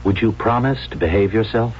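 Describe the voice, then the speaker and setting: A man's voice: lowish voice, a little fast, a little hiss or hum, lightly acted. An actor playing an evasive, placating man in a 1970s radio drama, soothing and hesitant, on a muffled vintage recording. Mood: grave, cautious. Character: evasive, placating man loved by an unstable woman.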